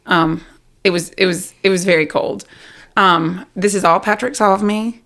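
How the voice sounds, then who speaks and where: A talkative woman with a Southern US accent, speaking candidly and loudly as an interview guest. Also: medium pitch, steady tone, moderate pace. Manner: loud, talkative, self-deprecating. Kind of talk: candid personal conversation.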